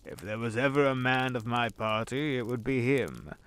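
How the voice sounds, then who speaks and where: A man's voice: medium pitch, moderate pace, a bit bright, clear, resonant tone, natural voice. A man playing an urbane, sly old devil in an audio drama, wordy and courteous, savoring his phrases. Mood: genial, sly. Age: older.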